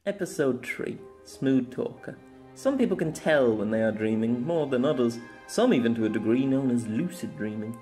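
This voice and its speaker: A man's voice: mid-range voice, lively intonation, moderate pace, warm tone, faint music behind. A man giving a scripted narration of a fantasy story.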